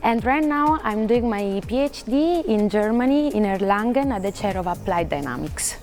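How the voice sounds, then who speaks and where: Woman from italy talking about her phd, kinda high voice, careful, some uhs. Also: lively intonation, moderate pace, darkish tone, soft background music. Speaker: PhD researcher. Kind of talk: recorded interview answers.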